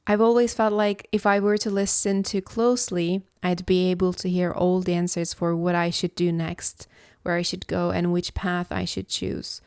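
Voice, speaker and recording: A woman from Istanbul, hosting a reflective solo podcast as a philosophy coach, speaking in a slow, contemplative, measured way. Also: lowish voice, little pitch movement, darkish tone, smooth, no room sound, studio quality. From Istanbul, Turkey.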